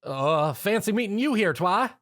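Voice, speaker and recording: A bashful female farm pony for a story, acted by a man. The talk is folksy, awkward and hesitant. The pitch is lively and uneven. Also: moderate pace, no room sound, studio quality. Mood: embarrassed. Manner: shy, stammering.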